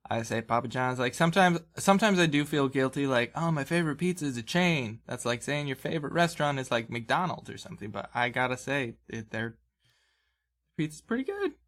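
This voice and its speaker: A man's voice: mid-range voice, animated, a little fast, fairly bright tone. A man speaking informally and conversationally as a podcast host and dungeon master. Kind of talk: casual banter and game recap.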